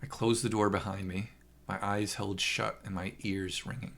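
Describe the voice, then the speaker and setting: Guy doing dramatic horror narration, kinda low but sharp voice, really intense storyteller. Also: flat delivery, moderate pace, vocal fry. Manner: intense, theatrical delivery.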